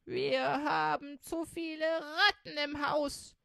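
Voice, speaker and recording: A woman's voice: high voice, steady tone, slow, vocal fry, a bit bright, no echo, studio quality, voice pushed up, exaggerated voice. A woman doing a cool, indifferent robber cook.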